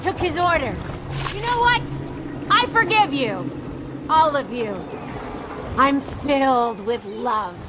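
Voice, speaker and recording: An actress playing a brash, sardonic gang boss in a video game, taunting and menacing. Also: female voice, sits high, expressive, slow pace. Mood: gleeful menace. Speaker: voice actor. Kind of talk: video game cutscene acting. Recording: clearly noisy, engine-like background.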